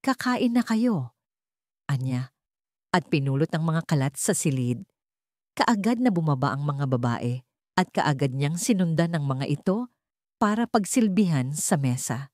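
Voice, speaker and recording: A woman's voice: low voice, lively intonation, moderate pace, darkish tone, dry recording, clean studio sound. A woman narrating a scripted dramatic story as an audiobook narrator.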